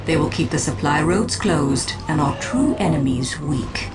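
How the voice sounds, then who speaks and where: A woman playing a calm, calculating alien farseer in a video game, speaking in a measured, formal and imperious manner. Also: deep voice, heavy background noise. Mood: cold, assured. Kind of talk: video game cutscene acting.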